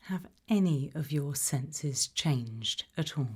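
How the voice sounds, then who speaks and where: A British woman speaking in a soft, breathy, mostly whispered ASMR style, gently asking measured questions as an interviewer in a roleplay. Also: low-pitched, animated. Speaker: ASMR roleplayer as an abduction investigator.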